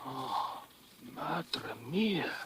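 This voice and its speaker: A man's voice: fairly high pitch, very animated, very slow, a bit bright, in a whisper, exaggerated voice. An actor playing a weary, wary Portuguese village fisherman in a 1940s radio drama. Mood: startled, hushed.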